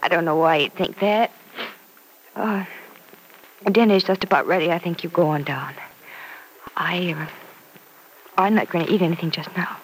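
An actress playing a gentle, heartbroken young woman, speaking in a subdued, hesitant way in an old-time radio serial. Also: fairly low pitch, moderate pace, long pauses, slightly rough, poor audio. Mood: subdued, hurt. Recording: old radio broadcast, faint hiss.

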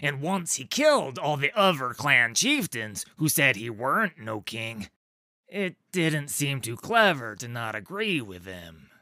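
A man's voice: mid-range voice, sing-song, unhurried, bright, forceful tone, no room sound, studio quality, heavily acted. A man voicing a dim, wheezing rust-hided troll. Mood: gossipy.

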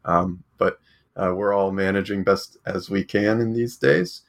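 A man hosts an academic book podcast from his home. His very deep voice stays flat and gravelly. He speaks hesitantly, often restarting sentences. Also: a little slow. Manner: hesitant, many fillers and restarts. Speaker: podcast host.

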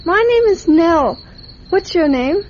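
Curious little girl, high and unhurried, wide-eyed and trusting, radio drama with some background hiss. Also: expressive, a little mellow, heavily acted. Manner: wide-eyed, earnest, childlike chatter. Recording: dull, noisy radio drama recording.

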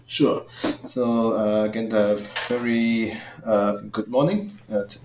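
A man's voice: lowish voice, steady tone, slow pace, darkish tone, roomy sound, slight background noise. A man from Hong Kong, a political science professor, speaking formally and hesitantly with many fillers as a podcast guest. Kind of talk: podcast interview.

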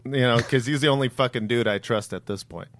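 A man's voice: medium pitch, quick pace, a bit bright, no room sound, studio quality. A man co-hosting a casual comedy chat, joking and agreeing often with plenty of swearing.

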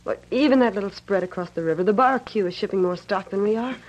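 A woman's voice: mid-range voice, quick pace, a little mellow, lo-fi sound, slight background noise, close to an everyday voice. An actress playing a forthright, careworn young woman who owns a ranch, with an American accent, in an old radio western. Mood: worried.

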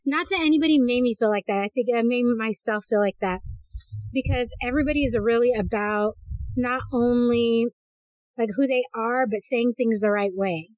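Host, woman, 40s, animated storyteller, slightly high voice, dry close sound. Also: moderate pace, no room sound, rough recording. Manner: animated storyteller, acts out quotes. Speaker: podcast host. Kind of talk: casual chat.

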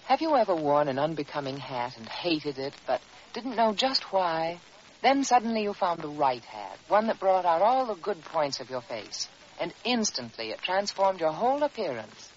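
A woman delivering a scripted 1940s radio commercial as spokeswoman, instructive and emphatic. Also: lowish voice. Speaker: advertising spokeswoman. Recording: hissy, dull old radio recording.

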